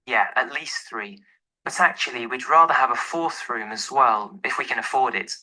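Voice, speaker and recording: A man's voice: medium pitch, normal speed, sharp tone, rough recording. A man playing an easygoing young professional in an acted phone dialogue, speaking casually and informally. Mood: casual, friendly. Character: easygoing young male professional phoning to rent a flat.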